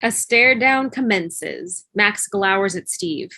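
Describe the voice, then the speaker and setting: A woman's voice: mid-range voice, expressive, takes it slowly, bright, forceful tone, no echo. A woman reading scripted stage directions as narration on a video call. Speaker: stage-direction reader in a table read.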